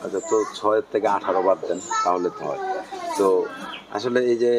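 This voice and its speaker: A man's voice: sits low, a little fast, noisy background. A man with a Bangladeshi accent interviewing someone casually, probing and repeating answers back as questions. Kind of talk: casual on-camera street-style interview.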